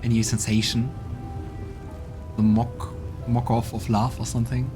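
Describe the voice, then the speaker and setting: A man casually commenting on a game and reading its narration aloud, thinking out loud, with music in the background. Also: mid-range voice, flat delivery, a little slow. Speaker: let's play streamer.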